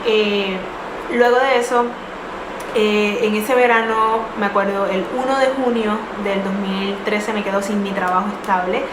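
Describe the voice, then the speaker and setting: A woman's voice: medium pitch, fairly even intonation, unhurried. A young woman in her twenties earnestly telling a personal story, with many fillers and restarts. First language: not English. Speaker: vlogger. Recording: echoey room with noise.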